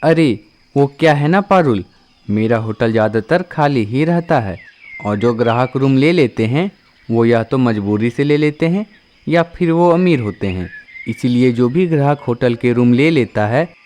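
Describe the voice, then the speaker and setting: A man's voice: medium pitch, lively intonation, measured pace, a little mellow, close to an everyday voice. A man voicing a chatty, easygoing male crow who runs a hotel in a cartoon. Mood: explaining. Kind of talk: cartoon voice acting.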